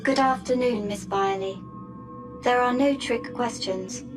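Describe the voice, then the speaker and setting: A woman playing a polite, formal assistant in a radio drama, speaking in a measured way. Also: a little high, lightly acted. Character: polite, formal female assistant reading test questions. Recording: music underneath.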